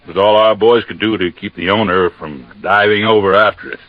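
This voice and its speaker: An American actor playing a matter-of-fact, folksy Coast Guard captain explaining things in a 1950s radio drama. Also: male voice, lowish voice, brisk, no room sound, natural voice. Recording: dull, dry vintage radio recording.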